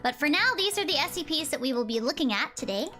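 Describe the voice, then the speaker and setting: A young woman streaming live, chatting with her viewers and reading documents aloud, her voice slightly hoarse, giggly and stumbling over words. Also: sits high, big pitch swings, quick pace, pushed and bright. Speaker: VTuber streamer.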